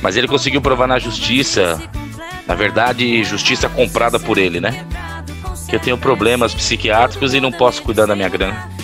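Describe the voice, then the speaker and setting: A man's voice: mid-range voice, brisk, music in the background, natural voice. A man playing an anguished young rich heir in an audio drama, confiding intensely about a father he fears. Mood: bitter, fearful.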